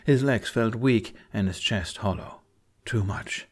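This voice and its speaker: A man's voice: fairly low pitch, a little slow, darkish tone. A man reading dramatic fiction as a scripted audiobook narrator.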